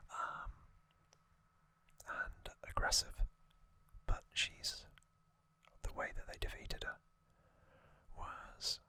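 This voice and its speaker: A man hosting ASMR, explaining trivia informally in a soft, unhurried, breathy whisper. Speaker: ASMR video host.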